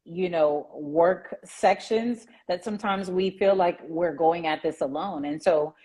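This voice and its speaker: Woman host, low-pitched and husky, encouraging interviewer. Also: unhurried, dark tone, rough recording. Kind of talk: casual interview.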